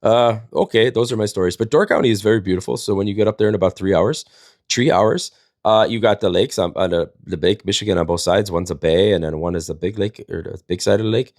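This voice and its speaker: A man's voice: on the low side, fast, a little mellow, no echo, studio quality. A man, telling casual comedic stories in a rambling, folksy, jokey way as a livestream host. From Wisconsin, United States.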